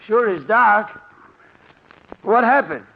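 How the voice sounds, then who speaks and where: High-voiced nervous young crook. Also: male voice, expressive, takes it slowly, heavily acted. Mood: shaken. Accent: American. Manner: anxious, deferring, slangy. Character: nervous, excitable young crook, the junior partner. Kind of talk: 1940s radio drama acting. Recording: muffled old radio broadcast.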